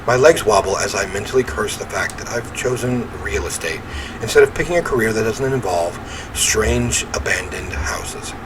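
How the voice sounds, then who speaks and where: A man reading a first-person horror game story aloud in an expressive, theatrical way. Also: lowish voice, normal speed. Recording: clear ambient noise behind voice.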